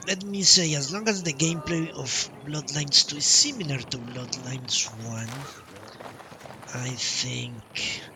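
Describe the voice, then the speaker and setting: A man doing casual live commentary as a game streamer, rambling in halting, broken-up phrases. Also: mid-range voice, vocal fry, pushed and bright, a little hiss or hum. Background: game music.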